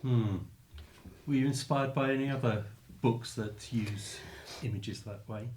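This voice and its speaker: A man interviewing an author, asking literary questions in a tentative, halting way. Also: medium pitch, darkish tone.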